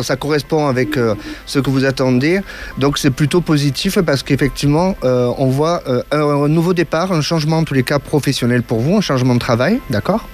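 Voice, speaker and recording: A man's voice: mid-range voice, a little mellow. Hesitant man hosting a call-in show. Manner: hesitant, many fillers and restarts, reassuring. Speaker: radio clairvoyant host taking calls. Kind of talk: call-in consultation.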